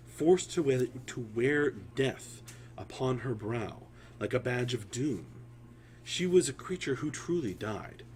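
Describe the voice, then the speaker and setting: A man telling a fantasy story in a solemn, theatrical way, with deliberate phrasing and dramatic pauses. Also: medium pitch, darkish tone, poor audio.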